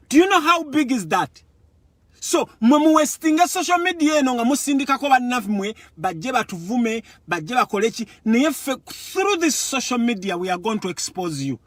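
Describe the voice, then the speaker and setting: A Ugandan man speaks with a strikingly high, forceful voice. He delivers an impassioned political monologue, repeating words for emphasis and moving between languages. The sound is dry and close. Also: sing-song, moderate pace, no room sound. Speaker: political commentator. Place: Europe.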